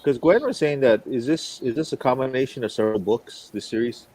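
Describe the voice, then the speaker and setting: A man's voice: medium pitch, brisk, darkish tone, breathy edge. A man chatting casually as a podcast co-host, talking in a hesitant, rambling way. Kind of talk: casual chat.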